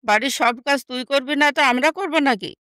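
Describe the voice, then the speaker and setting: A woman voicing a bossy, scheming young sister in an animated moral story, with a scornful, scolding manner. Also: high-pitched, fast talker, no room sound, studio quality.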